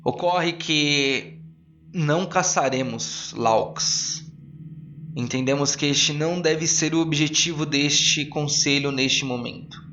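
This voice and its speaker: A man playing a solemn, measured master of an arcane council, formal and authoritative, in a tabletop audio drama. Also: a little high, pushed and bright, recorded from a distance, lo-fi sound, soft background music. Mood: solemn, firm.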